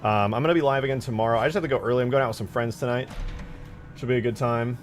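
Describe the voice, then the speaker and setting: A man's voice: medium pitch, steady tone, racing, faint music behind. A man streaming his game modding work, talking informally and thinking aloud. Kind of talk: casual livestream chat.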